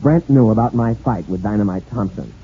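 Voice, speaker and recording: Husky, worried framed man. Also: lowish voice, a little fast. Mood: earnest, worried. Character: earnest man framed for murder, pleading his case. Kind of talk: 1940s radio drama acting. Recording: tinny 1940s radio recording.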